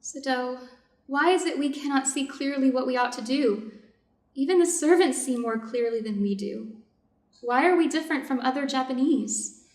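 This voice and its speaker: A woman's voice: high-pitched, moderate pace, a little mellow, close to an everyday voice. A woman playing an anxious, devoted doctor's wife in a reader's theater piece, earnest and careful in her delivery. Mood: pleading. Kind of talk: reader's theater stage reading. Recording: echoey room, distant mic.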